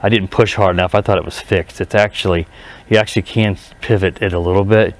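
A man giving a casual, unscripted hands-on review outdoors, speaking haltingly and often correcting himself. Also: sits low, steady tone. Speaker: product reviewer. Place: outdoors in a residential driveway. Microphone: head-mounted action camera.

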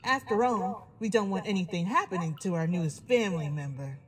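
A woman's voice: on the low side, a little fast, close to an everyday voice. A woman playing a menacing, gleefully sadistic female pony villain in a fan horror audio drama. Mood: gleeful menace.

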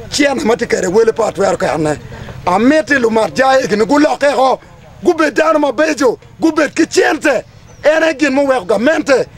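Ugandan male community leader, thirties, impassioned and declamatory, pitched extremely high. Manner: emphatic, declamatory, repetitive phrasing. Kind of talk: impassioned public address to camera. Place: outdoors under trees among a gathering.

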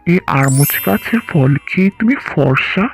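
A man's voice: high-pitched, expressive, normal speed, creaky, slightly rough, faint music behind, full character voice. A man playing an earnest trapped prince. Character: young prince trapped in a tree, gentle. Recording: dull lo-fi cartoon audio.

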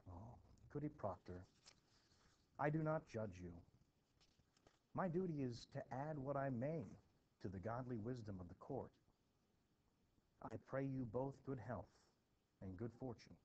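Man reading as grave, probing colonial clergyman: formal, deliberate, slightly muffled recording. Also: mid-range voice, expressive, a little mellow, close to an everyday voice. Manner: formal, measured, questioning. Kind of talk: expressive classroom play reading. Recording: dull, noisy home recording.

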